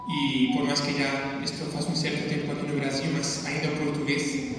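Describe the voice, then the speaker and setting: Male priest, native Spanish speaker with a Spanish accent, higher-pitched voice, sermon delivery in phrases, very echoey church. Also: completely flat delivery, normal speed. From Spain. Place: large reverberant church interior. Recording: very echoey church, distant mic, faint noise.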